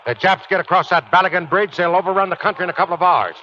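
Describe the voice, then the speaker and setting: An actor playing a gruff, commanding ex-Marine sergeant who gives orders in folksy soldier talk, in 1940s radio drama style. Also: male voice, sits high, rapid-fire, sharp tone. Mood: brisk command. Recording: noisy, muffled 1940s radio broadcast recording.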